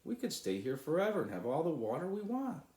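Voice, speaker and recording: Guy doing an excited dreamy little boy from a kids book, voice pushed up high, roomy echo. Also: brisk. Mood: amazed. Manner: exclaiming, eager. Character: excitable young boy, wide-eyed and dreamy. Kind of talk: children's storybook read aloud.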